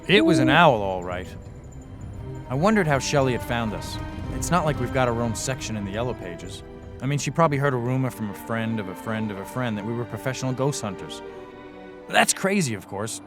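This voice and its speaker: An actor playing a weary, sardonic young ghost hunter, narrating and talking in a wry, deadpan way in a radio drama. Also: male voice, mid-range voice, fairly quick, natural voice. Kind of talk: first-person radio drama narration and dialogue. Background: loud dramatic music.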